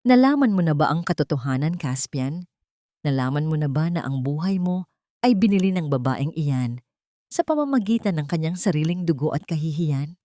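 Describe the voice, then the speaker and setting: A woman's voice: deep voice, animated, normal speed, mellow, no echo. A woman voicing a menacing, possessive male crime boss with a taunting, domineering manner in an audio drama. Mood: mocking.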